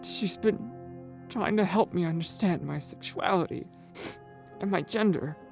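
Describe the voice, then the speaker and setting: A man voicing a gentle, worried transgender teenage girl, soft and hesitant, in a story reading. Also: sits high, unhurried, creaky, over music, pitched above the performer's own voice. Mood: tearful.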